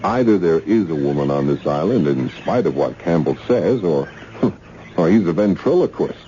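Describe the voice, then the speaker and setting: Practical husband, very low voice, lively intonation, curious, muffled old radio drama. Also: male voice, normal speed, slight background noise. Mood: musing. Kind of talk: 1970s radio drama acting.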